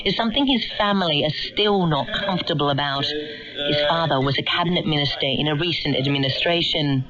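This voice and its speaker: A woman's voice: sits low, a little fast. A woman reporter narrating a documentary and asking interview questions, heard on a shortwave radio capture. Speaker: reporter and presenter. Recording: muffled shortwave radio capture, crowd-like background.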